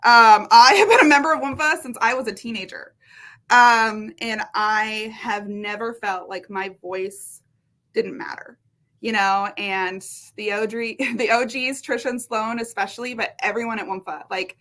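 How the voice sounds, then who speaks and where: A woman with a bright, forceful voice, a little higher than most, talking as a guest in a casual interview. She is talkative and energetic, with frequent laughs. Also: moderate pace. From Washington state.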